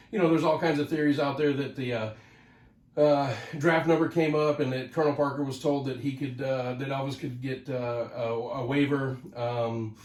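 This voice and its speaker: A man's voice: mid-range voice, a little mellow, echoey room, recorded from a distance. A man hosting his own channel, talking casually and a little nasally because of a head cold, rambling with lots of fillers and restarts. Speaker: host of a record collecting channel. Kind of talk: casual solo talk to camera.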